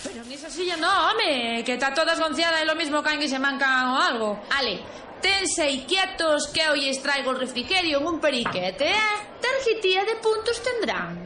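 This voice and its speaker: Rustic Galician waitress, very high swooping voice, folksy, bossy rambler, radio comedy. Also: female voice, very animated, normal speed, reverberant, over music. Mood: bossy, fussy. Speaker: actress. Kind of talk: comic radio soap opera acting.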